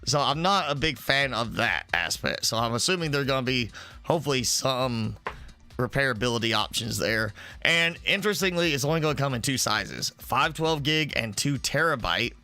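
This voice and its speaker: Guy with a cold live streaming, bright clear voice, keeps clearing his throat, faint music behind. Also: medium pitch, lively intonation, moderate pace. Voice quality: throat-clearing, slightly stuffy. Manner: rambling, filler-heavy explaining. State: congested from a head cold. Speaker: game streamer. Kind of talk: casual live stream chat.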